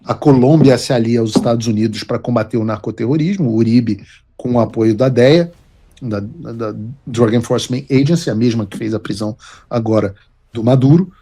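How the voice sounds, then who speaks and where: A man's voice: mid-range voice, a little fast, dark tone, breathy edge. A man from Brazil giving emphatic, lecturing political commentary as host of his show. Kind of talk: opinion commentary.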